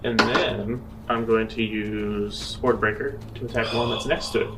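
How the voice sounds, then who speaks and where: A man's voice: lowish voice, steady tone, normal speed, very noisy. A man playing a tabletop role-playing game, talking casually and hesitantly as he decides his moves. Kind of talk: casual in-character game play. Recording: slightly distant mic, loud music behind. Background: prominent background music.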